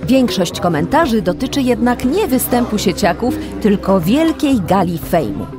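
A woman's voice: medium pitch, sing-song, moderate pace, music in the background, close to an everyday voice. A woman narrating a children's radio play in a scripted storytelling style. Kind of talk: scripted audio drama narration.

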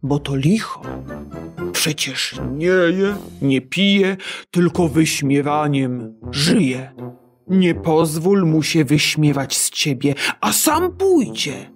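A woman voicing a kindly old man in a storybook who gently gives a girl advice. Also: low-pitched, expressive, soft background music. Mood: gentle advice. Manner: gentle, unhurried, advising.

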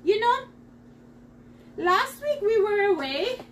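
A middle-aged woman hosting a live cooking demonstration in her home kitchen, talking to viewers in a chatty, excitable way. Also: very high-pitched, takes it slowly, distant mic, a little hiss or hum. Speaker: host selling kitchenware. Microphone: camera across the counter.

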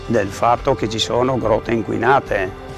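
A man explains things to camera in a halting way, repeating words as he searches for the next. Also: lowish voice, steady tone, over music. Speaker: president of a regional caving federation. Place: outdoors by a rock face.

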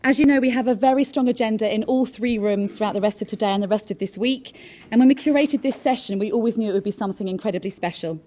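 Brisk woman giving a formal welcome. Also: a little high, mellow. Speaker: event chair introducing a session. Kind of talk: scripted welcome speech. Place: conference stage at a lectern. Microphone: lectern mic.

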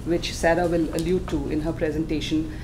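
Soft-spoken woman presenting to a panel. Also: sits low, flat delivery, a little fast, background noise. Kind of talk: conference presentation. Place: conference room.

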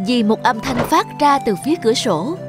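A woman's voice: on the high side, expressive, normal speed. A woman reading scripted fairy-tale narration for children. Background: background music.

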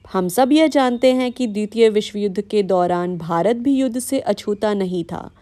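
A woman with an Indian accent reading scripted documentary-style narration for a vlog in a measured, explanatory way. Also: mid-range voice, dark tone. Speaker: vlogger narrating.

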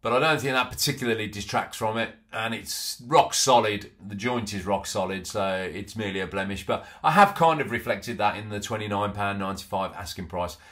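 A man's voice: on the low side, fairly quick, few pauses, a bit bright. A middle-aged man, chatting casually through an antiques haul with frequent ums and restarts, filmed at a home office desk. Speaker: antiques reseller. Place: small home office, indoors.

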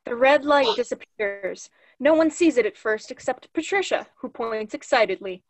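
A woman's voice: fairly high pitch, animated, normal speed, dry recording. A young woman giving scripted narration as the reader of stage directions in a play. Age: young adult. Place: indoor room, video call.

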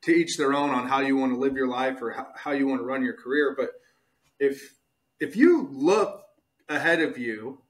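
A man's voice: mid-range voice, normal speed, rough recording. A man hosting a podcast, talking casually in an earnest, emphatic, motivational way and repeating his points for weight. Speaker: podcast host.

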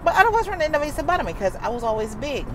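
A woman's voice: sits high, sing-song, racing. Emphatic woman vlogging in a car. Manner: emphatic, repetitive, earnest. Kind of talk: casual talk to camera. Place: inside a car, daytime. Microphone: wired earphone mic, phone held close. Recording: car and street noise.

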